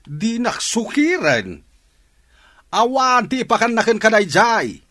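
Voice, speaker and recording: A man's voice: high voice, big pitch swings, slow, bright, forceful tone, dry recording, studio quality, heavily acted. A man playing a stern, disapproving father in a radio drama, scolding emphatically with an Ilocano Filipino accent.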